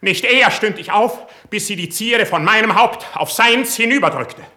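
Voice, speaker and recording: A man's voice: high-pitched, normal speed, bright, forceful tone, heavily acted. An actor playing an ardent, proud and sensitive young male court poet in a classical verse radio play, speaking in a fervent, lofty way. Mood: indignant, impassioned. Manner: fervent, lofty, declamatory delivery.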